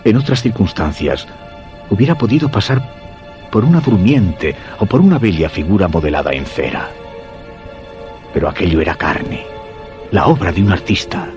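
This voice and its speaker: Deep-voiced, dread-stricken Spanish narrator. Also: male voice, lively intonation, darkish tone. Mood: morbid fascination. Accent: Castilian Spanish. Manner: grave, measured, literary. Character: weakened, dread-stricken husband telling his macabre story. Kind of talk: Spanish radio horror drama narration. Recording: dull radio sound with music underneath.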